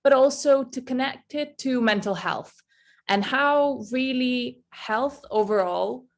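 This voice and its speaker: A woman's voice: sits high, lively intonation, slow, gravelly. A nineteen-year-old woman from Serbia, giving an informal personal talk as a youth advocate in a conversational, self-correcting way. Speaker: youth advocate and student speaker.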